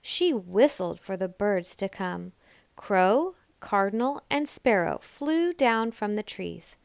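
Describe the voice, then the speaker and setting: Lady reading a kids book out loud, takes her time, expressive, crisp voice, no room echo. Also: mid-range voice. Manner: deliberate, expressive storytelling. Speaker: storybook reader. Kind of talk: children's read-aloud, scripted narration.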